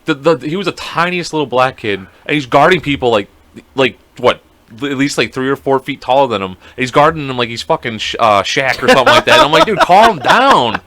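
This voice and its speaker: Animated, profane young guy chatting. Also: medium pitch, brisk, no echo. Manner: profane, joking, animated storytelling. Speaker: podcast co-host. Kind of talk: casual podcast chat.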